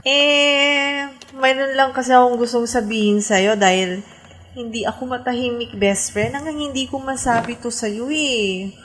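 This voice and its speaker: High-voiced, earnest young best friend. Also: female voice, steady tone, measured pace, a bit bright. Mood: nervous. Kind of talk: radio drama acting. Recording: music behind the voice. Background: background music.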